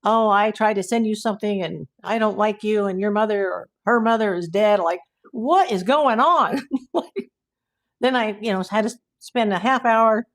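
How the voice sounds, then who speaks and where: Hoarse woman rambling on a livestream. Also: mid-range voice. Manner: rambling, exasperated, talks to chat viewers. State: hoarse, losing her voice. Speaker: YouTube livestream host. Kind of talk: casual livestream chat reading comments.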